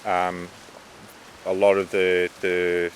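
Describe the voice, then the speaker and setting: A man speaks in a very low, flat voice. He talks casually and hesitantly, with plenty of fillers, while a faint hiss sits under the field recording. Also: takes it slowly, low-quality recording. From Australia. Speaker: resort general manager. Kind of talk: news interview.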